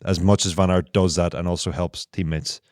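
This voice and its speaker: A man's voice: sits low, flat delivery, fairly quick, darkish tone, dry recording, clean studio sound. A man co-hosting a casual podcast chat, analytical, working through long explanations with restarts. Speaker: podcast co-host.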